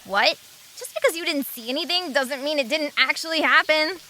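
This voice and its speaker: A woman's voice: high-pitched, a little fast, sharp tone, slight background noise. A woman in an audio drama playing an outspoken, sarcastic girl who argues back. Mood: indignant. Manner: sarcastic, argumentative. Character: outspoken, quippy human girl, impatient.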